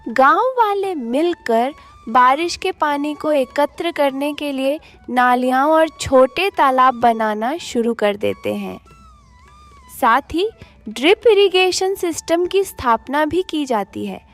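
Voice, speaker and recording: A woman narrates a cartoon moral story in a high voice. She takes a slow, storytelling pace, with faint music underneath. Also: expressive, fairly bright tone.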